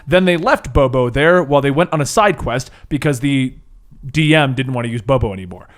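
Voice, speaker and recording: A man's voice: mid-range voice, lively intonation, fairly quick. A man acting as game master, narrating a scripted superhero campaign recap with casual banter.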